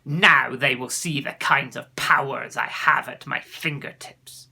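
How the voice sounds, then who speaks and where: A man acts out an evil storybook witch, full of venom and scorn. The voice is gravelly and fiercely forceful, drawn out slowly. Each word is spat with theatrical menace. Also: mid-range voice, fairly even intonation, full character voice. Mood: venomous scorn.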